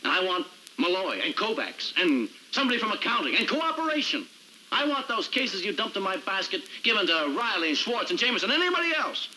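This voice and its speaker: An actor playing an indignant, driven city prosecutor in an American crime drama, with a wry, wordy, sarcastic manner. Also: male voice, mid-range voice, sing-song, fairly quick, sharp tone, slight background noise, poor audio. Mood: insistent.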